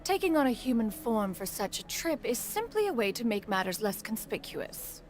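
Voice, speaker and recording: A proud, elegant immortal in womanly form in a video game. Her voice sits fairly high and moves widely in pitch, with formal, haughty phrasing. Faint music plays behind her. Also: sing-song, normal speed. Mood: lofty, composed. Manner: formal, lofty, archaic phrasing.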